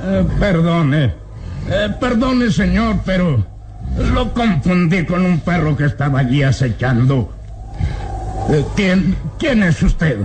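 An actor playing an excitable peasant man in an old radio drama, exclamatory and theatrical. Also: high voice, slow pace, noisy background. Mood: nervous, wary. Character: frightened peasant man, blustering drunk bravado turning to terror. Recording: dramatic radio serial music behind.

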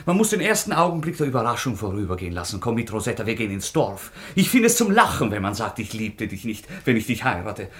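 A low male voice belongs to a proud young nobleman tormented by guilt. He speaks in lyrical, fervent declamation, every phrase shaped as theatrical radio drama. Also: sing-song, fast talker, hardly any pauses. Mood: brisk, defiant.

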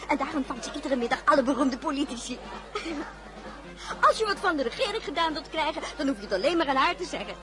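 A woman's voice: high voice, animated, quick pace, a crowd in the background. An actress playing a giddy, gossiping young woman who chatters and giggles in a radio play. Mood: gossipy.

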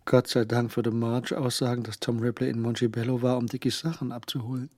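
An actor narrating a tense crime story in a scripted radio drama. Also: male voice, medium pitch, flat delivery, a little fast, darkish tone, no echo, natural voice. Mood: tense, measured.

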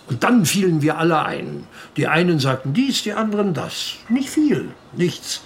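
Excitable ship chandler, gravelly, talkative, emphatic, radio play, slight background noise. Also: male voice, on the high side. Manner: garrulous, emphatic storytelling. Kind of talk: German radio play acting.

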